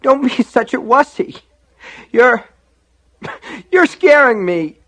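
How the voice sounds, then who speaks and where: A man's voice: sits high, very slow, bright, forceful tone, pitched above the performer's own voice, exaggerated voice. A man voicing a terrified little boy in a story, tearful and pleading in a whimpery, babbling way. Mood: panicked, weeping.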